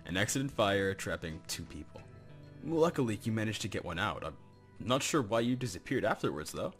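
Man voicing stern hunter dad, very deep voice, middle-aged, formal, measured, threatening, roleplay drama. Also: big pitch swings, a bit bright, soft background music. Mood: controlled, polite. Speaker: voice actor in a roleplay series. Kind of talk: scripted roleplay acting.

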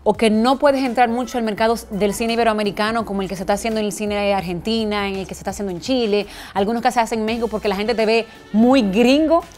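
A woman in her thirties hosting a TV interview, asking clear probing questions into a lapel mic in a studio. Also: medium pitch, steady tone, fast, hardly any pauses, a little mellow. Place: indoor TV studio set with sofa.

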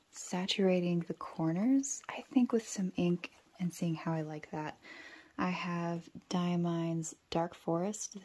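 Soft, husky, low-voiced woman. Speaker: journaling vlogger. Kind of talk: casual craft demonstration.

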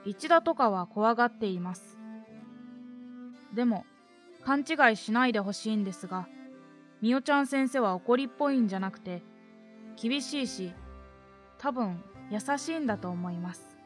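Earnest sixth-grade boy, played by a woman, higher-pitched and boyish, for a voice drama with faint music underneath. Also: expressive, moderate pace, long pauses, close to an everyday voice. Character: earnest twelve-year-old boy, a sixth-grade pupil. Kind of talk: voice drama acting, letter reading.

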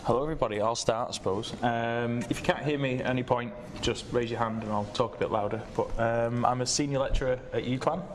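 A man's voice: mid-range voice, steady tone, fast, hardly any pauses. A man, a lecturer, talking informally on a panel in a large gallery, picked up by a distant microphone. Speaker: senior lecturer on a discussion panel. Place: large gallery room with seated audience. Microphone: distant camera microphone. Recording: noisy room, distant microphone.

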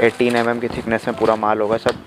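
A man's voice: medium pitch, monotone, quick pace, noisy background. A man in his thirties, a wholesale supplier, walking through his warehouse and listing products in a matter-of-fact way. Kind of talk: product walkthrough. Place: indoor warehouse.

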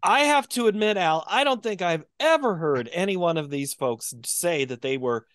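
A man's voice: sits high, expressive, moderate pace, fairly bright tone, no echo, studio quality. A man interviewing a guest over a video call, asking friendly, playful questions.

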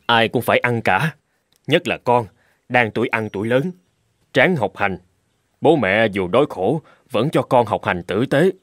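A man voicing a poor, hard-working, gentle father in a folk-tale cartoon. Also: mid-range voice, expressive, a little slow, no echo, studio quality. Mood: kindly. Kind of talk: cartoon dubbing.

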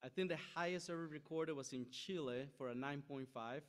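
A young man from Costa Rica preaching a sermon from a podium, conversational and animated, acting out quoted voices, recorded in a large church hall. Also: on the high side, brisk.

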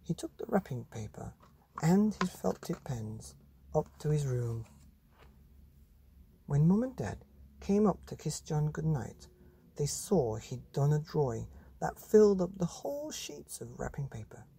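A man hosting and narrating a children's bedtime story, with dramatic, deliberate pauses. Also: fairly high pitch, big pitch swings, gravelly, mellow, husky. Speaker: podcast host and storyteller.

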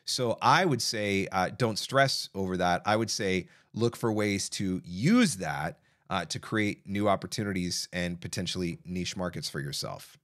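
A man in his thirties, with a slightly low-pitched voice, hosts a livestream from a small home studio. He answers viewers' questions in a relaxed, conversational way, with frequent fillers and restarts. The sound is close and direct from a studio mic on an arm. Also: measured pace, hardly any pauses. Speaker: voiceover coach hosting a live Q&A. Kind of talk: casual advice livestream. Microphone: broadcast mic on arm, close.

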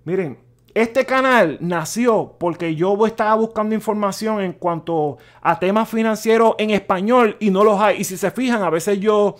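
Brief: middle-aged male finance host, high voice, stammers and repeats words, casual explainer. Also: normal speed. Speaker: personal finance channel host. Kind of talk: casual explanatory talk over a spreadsheet. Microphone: voice-over on a screen recording.

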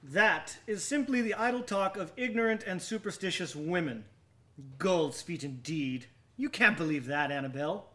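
A haunted, anxious gentleman in a gothic radio play. His voice sits high, with a bright, tense edge. He speaks theatrically in old-fashioned phrases, volatile and short of rest. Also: expressive, normal speed. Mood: pleading, defensive. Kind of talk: radio drama acting with dramatic monologue.

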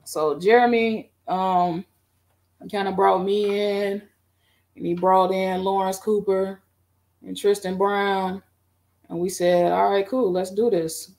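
A middle-aged woman on a video call, recounting her band history in a relaxed, rambling way with many fillers. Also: medium pitch, slow pace. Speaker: guest band musician. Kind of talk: casual interview. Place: home room.

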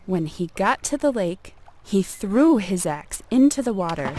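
Unhurried woman storyteller. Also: mid-range voice, sing-song, darkish tone, poor audio. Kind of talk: scripted folk tale narration.

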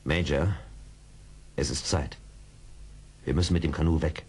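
An actor playing a seasoned, wry frontier scout in a German radio play, dry, mocking and commanding. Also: male voice, rumbling low, fairly even intonation, unhurried, a little mellow, breathy edge, heavily acted. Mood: calm, commanding. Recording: hissy old radio play record.

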